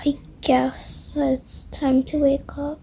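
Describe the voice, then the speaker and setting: Hushed, high-pitched young female voice, slow and creaky. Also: little pitch movement. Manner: hushed, hesitant, often trailing into whispers. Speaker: roleplay video creator. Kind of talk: casual improvised gameplay roleplay narration.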